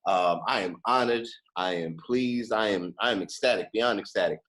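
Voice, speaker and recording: A man's voice: lowish voice, moderate pace, fairly bright tone. A man hosting a casual podcast interview, effusive and slangy in the way he talks. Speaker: podcast host.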